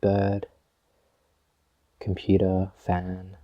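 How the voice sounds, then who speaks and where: A man leading a guided meditation as a teacher, speaking gently and in a measured way with long pauses. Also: sits low, monotone, no echo, highs cut off.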